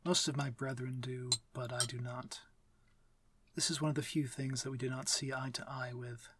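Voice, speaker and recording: A man playing a courtly, aloof but civil vampire master in an ASMR fantasy roleplay, speaking formally and with measured authority. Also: medium pitch, steady tone, sharp tone, a little hiss or hum, lightly acted. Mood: civil, patient. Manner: formal, measured, commanding diction. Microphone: close, dry microphone.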